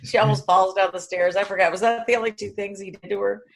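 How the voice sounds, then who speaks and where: Female podcast co-host, middling pitch, casual film chat, blunt opinions in colloquial phrasing. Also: fairly even intonation, fast, gravelly.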